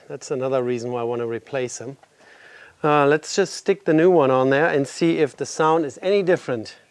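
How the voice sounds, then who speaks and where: A middle-aged man walks through a repair in his home garage, his voice a little congested from a lingering cold. He speaks a touch higher than most men, in a relaxed, practical way, thinking aloud as he works. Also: no room sound. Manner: relaxed, explains while working on a motorcycle. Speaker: garage video host. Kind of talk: casual workshop walkthrough.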